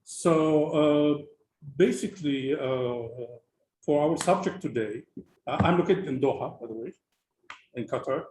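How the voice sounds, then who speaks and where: A man's voice: medium pitch, unhurried, reverberant, background noise. A man from Lebanon moderating an online panel discussion, talking casually with many fillers and restarts over a video call.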